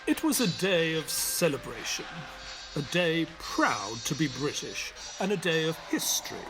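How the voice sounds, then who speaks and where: A man playing a polite, inquisitive English doctor in an audio drama, expressive and well-mannered. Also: fairly high pitch, moderate pace, music in the background, low-quality recording. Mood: reflective, storytelling. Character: polite, inquisitive English doctor narrating his detective adventures. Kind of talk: scripted first-person audio drama narration.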